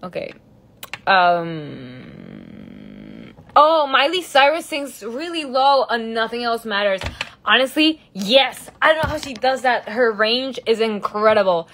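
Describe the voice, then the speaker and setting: Young woman, unhurried speech, giggly, casual livestream chat, phone held at arm's length. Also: a little high, sing-song, creaky, fairly bright tone, a little hiss or hum. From Mexico. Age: young adult. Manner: giggly, chatty, switches between English and Spanish. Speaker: singer answering fans on a livestream. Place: large indoor studio room. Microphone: phone camera at arm's length.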